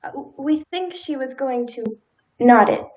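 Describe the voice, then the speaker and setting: A woman playing a polite, sympathetic small-town wife, gentle and hesitant, in a live radio play. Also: sits high, a little slow, low-quality recording. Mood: alarmed.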